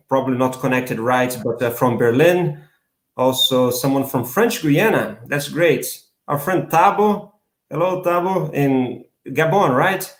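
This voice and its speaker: A man's voice: medium pitch, echoey room. Brazilian man hosting a live interview. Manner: hesitant, many fillers and restarts. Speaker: host.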